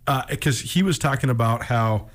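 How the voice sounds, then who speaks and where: American guy hosting sports radio, regular pitch, casual but opinionated, hammers his points. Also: moderate pace. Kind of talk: casual chat on a local radio show.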